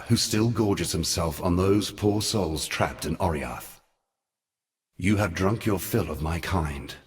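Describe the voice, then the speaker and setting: A low-pitched, noble god from a fantasy game. He speaks gravely and in lofty, measured phrases, like a mentor guiding mortals. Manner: solemn, measured, lofty archaic speech. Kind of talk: dramatic fantasy game voice acting.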